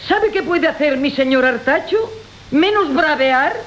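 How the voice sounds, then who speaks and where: Cheeky castilian maid, high voice, sly teasing, old hissy radio comedy. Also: female voice, expressive, measured pace. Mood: mocking, saucy. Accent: Castilian Spanish. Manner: sly, taunting. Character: saucy, mocking maidservant in a farce. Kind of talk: classical Spanish radio comedy acting. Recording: hissy 1980 radio broadcast recording.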